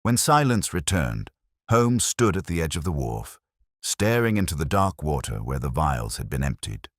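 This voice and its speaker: A man with an extremely low, slightly husky voice narrates a mystery tale in a grave, formal manner. His measured delivery rises and falls expressively, as in a dramatic audiobook. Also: very animated, no echo, studio quality.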